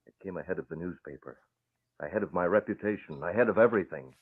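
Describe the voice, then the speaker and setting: A low-voiced, determined young crusader, measured and resolute. He delivers his lines as vintage radio drama on a muffled, narrow old recording. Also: slightly rough. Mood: earnest, resolute. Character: earnest, determined crusading son, secret vigilante.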